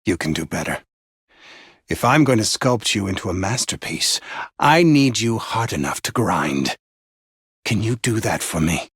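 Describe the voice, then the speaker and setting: An actor playing an intense, manipulative mentor, theatrical and condescending, with a husky voice, in dramatic villain voicelines. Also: male voice, lowish voice, big pitch swings, unhurried, a bit bright, dry recording, clean studio sound, heavily acted. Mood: coaxing, pressing. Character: intense, demanding male mentor, manipulative and passive-aggressive.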